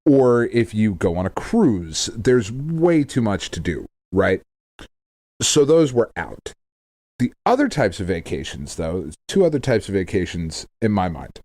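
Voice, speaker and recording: A man's voice: lowish voice, sing-song, measured pace, darkish tone, no room sound. A man co-hosting a podcast in casual chat about video games, thinking aloud in a conversational way. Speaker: podcast co-host.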